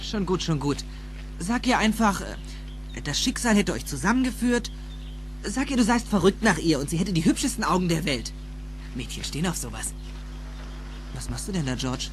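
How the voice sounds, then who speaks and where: Anxious teenage boy, lively pitch. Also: normal speed, long pauses. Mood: coaching, exasperated. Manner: hesitant stammers, urging. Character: anxious, impatient teenage boy, quick-thinking. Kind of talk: dubbed film acting in a German audio drama. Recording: noisy with hum.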